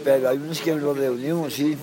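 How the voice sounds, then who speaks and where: A man, answering street interview questions in short, halting, repetitive phrases. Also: a little high, steady tone, rough recording. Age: 30s. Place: city park outdoors. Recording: outdoor crowd noise. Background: street crowd and traffic.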